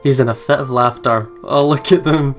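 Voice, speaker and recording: A man hosts a let's play, reading story narration aloud and breaking off for fond, giggly commentary. His pitch is middling, and faint music plays behind him. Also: normal speed.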